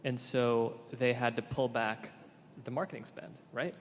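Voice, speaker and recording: A man's voice: medium pitch, steady tone, a little slow, slight background noise. A man on a panel casually telling a story about a portfolio company. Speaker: investor panelist. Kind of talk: panel discussion, anecdote. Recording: reverberant hall with faint ambience.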